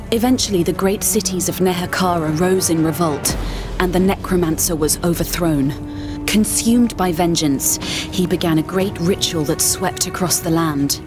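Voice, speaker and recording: Brief: actress as a loyal, earnest scholar-mage, lower voice, calm explanatory delivery. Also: female voice, natural voice. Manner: measured, explanatory storytelling. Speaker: expedition scholar. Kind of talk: game cutscene voice acting. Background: game music.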